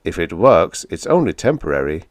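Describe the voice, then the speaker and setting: A man voicing a calm, blunt visitor from the future who speaks in a flat, firm, matter-of-fact way. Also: low voice, moderate pace, dry recording, clean studio sound.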